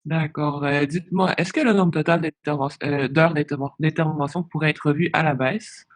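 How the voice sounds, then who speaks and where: A man. He speaks politely and haltingly, feeling his way through questions in a formal work conversation. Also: on the high side, fairly even intonation. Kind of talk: professional phone conversation, questioning.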